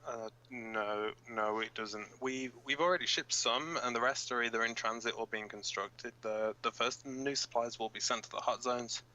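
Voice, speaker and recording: Man playing a bright-voiced, informal resistance radio fighter. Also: medium pitch, fairly even intonation, low-quality recording. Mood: firm. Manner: halting, informal, blunt, cursing. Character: flustered, sweary young male resistance fighter reading radio bulletins. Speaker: radio co-host in a fiction drama. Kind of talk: amateur audio drama, fictional pirate radio broadcast.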